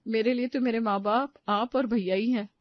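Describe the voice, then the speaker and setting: A woman's voice: fairly high pitch, fairly even intonation, normal speed, no room sound, highs cut off, lightly acted. A woman voicing an accommodating, sentimental older aunt in a family story drama. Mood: grateful, tender. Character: affectionate older aunt, youngest sister, accommodating.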